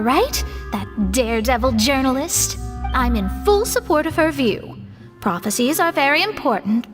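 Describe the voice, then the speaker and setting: Earnest young astrologer, high-voiced. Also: female voice, long reverb tail. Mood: composed. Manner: articulate, formal, thoughtful. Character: earnest, scholarly young female astrologer, gently hopeful. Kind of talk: anime-style video game voice acting.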